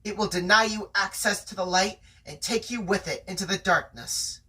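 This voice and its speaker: A reader voicing a gentle, earnest mother from a sci-fi novel. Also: medium pitch, normal speed, distant mic, close to an everyday voice, low-quality recording. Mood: solemn warning.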